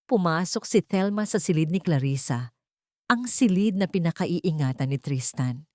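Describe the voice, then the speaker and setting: A woman's voice: lowish voice, lively intonation, normal speed, a little mellow, no room sound, studio quality. A woman narrator reading a scripted, dramatic story.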